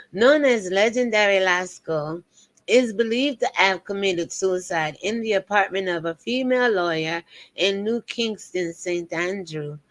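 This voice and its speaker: A woman in her fifties hosting a casual commentary show, chatting to viewers with preachy asides. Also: mid-range voice. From United States. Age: 50s.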